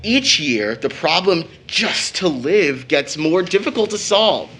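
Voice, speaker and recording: An actor playing an outspoken young man in an audio drama, with an emphatic, expressive delivery. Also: on the high side, slow pace, slightly rough, heavily acted. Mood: bitter, impassioned. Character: Tom, impassioned outspoken young brother, teasing. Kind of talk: stage play audio drama acting.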